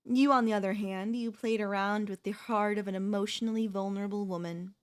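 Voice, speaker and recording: A woman playing a possessive, manipulative wife in an ASMR audio roleplay, speaking to the listener in a sweetly condescending, deliberate, theatrical way. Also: mid-range voice, fairly even intonation, clean tone, lightly acted. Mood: accusing, condescending. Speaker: voice actress.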